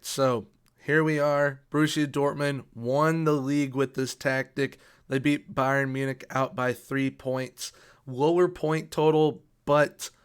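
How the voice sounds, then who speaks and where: A man's voice: medium pitch, clear, resonant tone. A young man giving casual video game commentary with an upbeat, repetitive emphasis, his voice worn from recently losing it. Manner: upbeat, repeats words for emphasis. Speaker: video game tactics youtuber.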